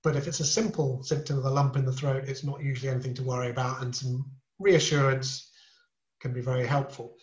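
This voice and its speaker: A man explaining throat complaints to a podcast host in a measured, reassuring way, with small restarts as he talks. He sounds like a seasoned specialist. The sound is roomy and a little dull. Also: mid-range voice, little pitch movement. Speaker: ear, nose and throat specialist guest. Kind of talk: podcast interview, explaining medical topics.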